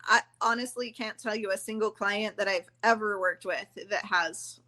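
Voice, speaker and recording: A woman's voice: mid-range voice, moderate pace, sharp tone. A woman hosting a podcast, a trauma practitioner explaining her views in an earnest, explanatory way. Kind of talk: conversational podcast interview.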